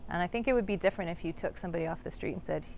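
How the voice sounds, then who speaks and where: Female controller, slightly low voice, halting interview delivery, faint noise behind. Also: gravelly, a little mellow. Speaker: air traffic controller. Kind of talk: interview talk.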